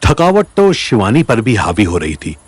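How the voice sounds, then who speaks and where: A man's voice: on the low side, huge pitch swings, normal speed, slightly rough, no echo. A man narrating an audio story in a dramatic, scripted style.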